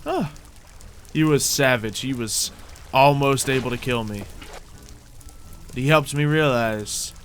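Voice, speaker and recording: A man's voice: medium pitch, fairly bright tone, a little hiss or hum. A man commentating on his gameplay in character, theatrical and mock-boastful, muttering to himself and repeating his phrases. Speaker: gaming video host.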